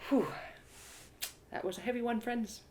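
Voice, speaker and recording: A woman's voice: on the high side, fairly even intonation, normal speed, very noisy. A woman narrating a story expressively in the first person, as a live storyteller. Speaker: storyteller and host of live readings. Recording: roomy, echoey live reading.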